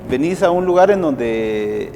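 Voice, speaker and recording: A man's voice: on the high side, animated, unhurried, warm tone, long reverb tail, slight background noise. A man from Guatemala with a Guatemalan Spanish accent, answering interview questions in a hesitant way, with frequent fillers and restarts. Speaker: guest, civil society activist.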